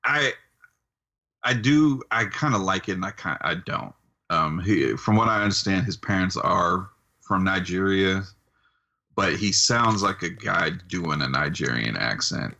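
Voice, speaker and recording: A man with a very low voice that still has a bright, firm edge. He talks as a podcast co-host in a casual, conversational way. His manner is wry. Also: expressive, a little slow, creaky, muffled recording. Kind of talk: casual chat reviewing wrestling.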